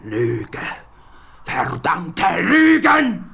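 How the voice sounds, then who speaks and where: A cornered fake medicine man in an old radio drama. The voice is gravelly and rough, bright and cutting. He drags every word out very slowly. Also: high voice, wildly swooping pitch, slight background noise, heavily acted. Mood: denying. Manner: panicked, frantic denials. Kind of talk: 1970s radio play acting.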